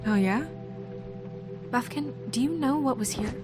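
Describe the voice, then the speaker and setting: An actress playing an earnest, stressed, assertive office administrator in a video game, firm and practical. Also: female voice, high-pitched, a little slow, music in the background. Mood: inquisitive. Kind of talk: naturalistic video game acting.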